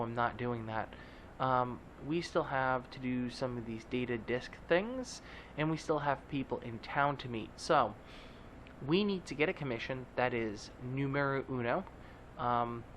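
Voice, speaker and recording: A man's voice: medium pitch, fairly bright tone, a little hiss or hum. A man with a stuffy, nasal voice giving casual, joking commentary as a let's play host. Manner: chatty, joking, with many fillers.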